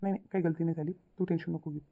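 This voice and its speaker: A woman's voice: deep voice, fairly even intonation, very fast, gravelly. Woman acting a tinny-sounding, apologetic young son. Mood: concerned, soothing. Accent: Vidarbha Marathi. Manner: pleading, explaining himself. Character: apologetic young newlywed son, placating and defensive. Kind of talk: cartoon family drama. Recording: tinny phone-like narrow audio.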